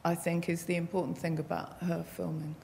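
A woman's voice: on the low side, level tone, a little fast, vocal fry, mellow. A woman on a panel making an earnest comment about how a colleague films. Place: large hall at a panel table. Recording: reverberant hall, faint noise.

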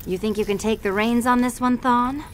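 An actress playing a businesslike villain ringleader giving orders in an animated video game. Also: female voice, a little high, fairly quick, slight background noise, lightly acted.

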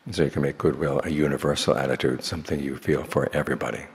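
A man speaking as a Buddhist monk, leading a guided meditation in slow, measured phrases with long pauses. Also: a bass voice, fairly even intonation. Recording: long hall reverb.

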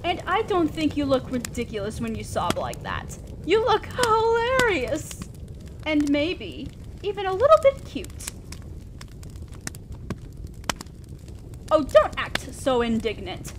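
A woman playing a haughty, teasing female demon lord in a roleplay audio drama, with a drawn-out, condescending, theatrical delivery. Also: on the high side, very animated. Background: crackling fire.

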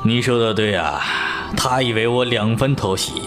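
An actor playing a seasoned, urbane middle-aged martial-arts master in a wuxia audio drama, speaking in a measured, avuncular, theatrical way. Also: male voice, mid-range voice, natural voice. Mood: reflective. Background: music.

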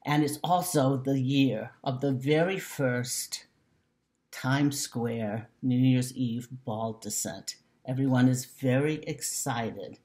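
A woman's voice: extremely low pitch, dark tone. An older woman in her sixties speaks as an author giving a prepared introduction to her mystery novel. She is recorded at desk distance on a webcam in a room at home. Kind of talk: prepared book introduction to camera. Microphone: laptop webcam at desk distance.

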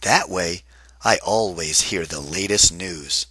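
A man's voice: sits low, takes it slowly, sharp tone, slightly rough, no room sound, exaggerated voice. A man voicing a restless, weary storybook shape in a plaintive, emphatic way. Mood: content. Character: restless talking yellow shape, dizzy, lonely and weary.

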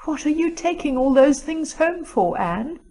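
A woman's voice: sits high, big pitch swings, unhurried, mellow, pitched above the performer's own voice, heavily acted. A woman voicing a young schoolgirl, a plaintive and coaxing best friend, in an audiobook. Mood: anxious curiosity. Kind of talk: audiobook character dialogue.

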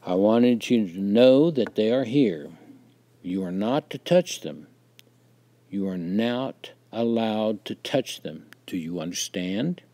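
A man voicing a stern, authoritative father in a story, speaking firmly and deliberately to his son. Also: fairly low pitch, lively intonation, warm tone. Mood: firm, instructing. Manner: firm, commanding, deliberate.

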